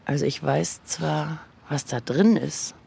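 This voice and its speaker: A man's voice: on the high side, takes it slowly, gravelly, dark tone, husky. A man narrating in the first person for a radio piece.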